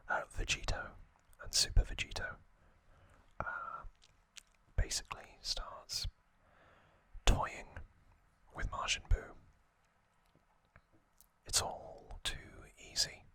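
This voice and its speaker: A man whispering breathily as an ASMR host, telling a story in hushed, short phrases with pauses between them. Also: dry recording. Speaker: host of an ASMR trivia channel.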